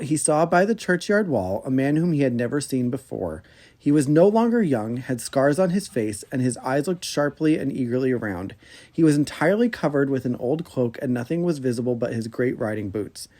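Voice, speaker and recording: A man with a slightly high-set voice reads an old folk tale aloud as a podcast host. His narration is expressive and storybook-like, with a chatty, informal footing. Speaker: podcast host reading fairy tales aloud.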